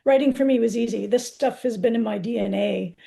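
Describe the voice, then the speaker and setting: A woman's voice: a little high, brisk, gravelly, mellow. A woman in her sixties, a poet answering interview questions in a candid, reflective, conversational way. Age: 60s.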